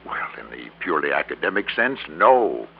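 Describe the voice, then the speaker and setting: Gravelly, self-important older businessman. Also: a bass voice, very animated, exaggerated voice. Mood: grandiose, fervent. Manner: formal, deliberate, grandiose. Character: slight, gray-haired, self-important businessman of about sixty. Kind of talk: classic American radio drama acting. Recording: tinny, faintly noisy vintage radio recording.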